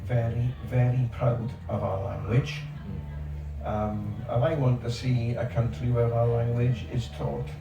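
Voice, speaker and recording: Older man speaking emphatically, far off. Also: mid-range voice. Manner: emphatic, repeats words for stress. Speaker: panel speaker. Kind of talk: panel discussion. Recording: echoey outdoor space from far off, background noise.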